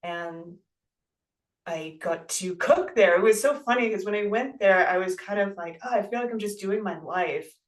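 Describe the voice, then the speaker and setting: Soft-spoken guest, heard from a distance. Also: mid-range voice. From United States. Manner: thoughtful, halting, many fillers, soft laughs. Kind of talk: reflective casual interview talk.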